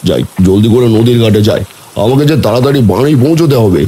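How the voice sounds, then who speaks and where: A man playing a kindly, humble village man in an animated cartoon story. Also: medium pitch, fast talker, slight background noise, close to an everyday voice. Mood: anxious, urgent. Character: kindly humble villagers: poor boatman and lonely widower. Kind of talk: animated story character dialogue.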